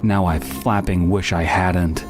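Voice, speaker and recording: Deep-voiced man reading slowly over loud music. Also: steady tone. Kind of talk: reading an online horror story aloud.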